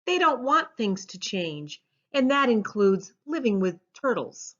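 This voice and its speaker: An earnest, brave turtle who looks out for his friends a woman reading him from a storybook. He speaks slowly and reassuringly, on a slightly dull recording. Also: on the high side, very animated. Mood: reassuring, kind. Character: brave, kind young male turtle, protective of friends.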